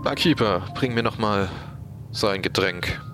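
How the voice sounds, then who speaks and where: A man voicing an absorbed, unhurried pirate navigator brooding over his charts in an audio drama. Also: fairly low pitch, over music, lightly acted.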